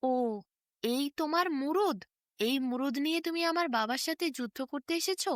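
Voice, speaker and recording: A woman's voice: high-pitched, moderate pace, a bit bright, no echo, clean studio sound. A woman voicing a sweet, sly little girl who taunts a proud wrestler in a children's folk tale. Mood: mocking.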